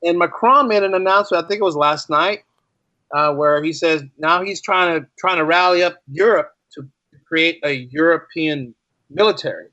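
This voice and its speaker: A man's voice: on the high side, moderate pace, pushed and bright, no echo, muffled recording. A talkative man speaking casually and enthusiastically as a guest in an interview. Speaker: podcast guest, writer and podcaster.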